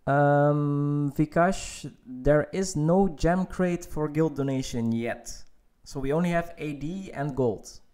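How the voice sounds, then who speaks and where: A man's voice: fairly high pitch, slow, hardly any pauses, a little mellow. Man answering stream chat. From Netherlands. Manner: answers chat questions while playing, hesitant with many fillers. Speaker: game streamer. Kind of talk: casual livestream Q&A.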